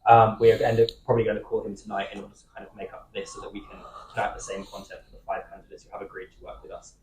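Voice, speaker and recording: A young man moderating a student debate, explaining the format quickly with some fillers. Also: on the low side, level tone, darkish tone, roomy sound, a little hiss or hum, poor audio. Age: young adult. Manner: quick, slightly halting with fillers.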